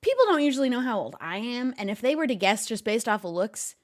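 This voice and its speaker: A woman's voice: a little high, quick pace. A woman streamer giving casual reaction commentary, laughing often as she talks. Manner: giggly, animated, talks to a pet.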